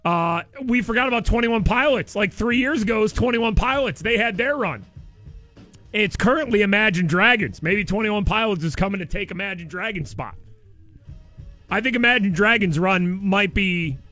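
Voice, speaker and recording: A male radio host chats in a loose, animated way from the studio, his fairly high voice worn hoarse. Soft music plays faintly underneath. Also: normal speed. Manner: animated, rambling, laughs easily. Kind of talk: casual morning radio banter.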